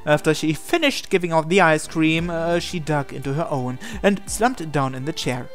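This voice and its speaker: Man, slightly high voice, let's play narrator reading game text with asides, faint music behind. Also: expressive, moderate pace. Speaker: gamer reading a visual novel aloud.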